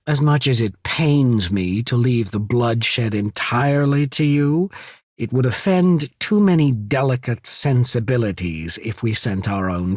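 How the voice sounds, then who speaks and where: An actor voicing a courtly, shrewd diplomat of a trading company in a video game, speaking in a polite, measured, formal way. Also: male voice, mid-range voice, breathy edge, no room sound, clean studio sound. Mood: earnest, persuasive. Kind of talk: video game character acting.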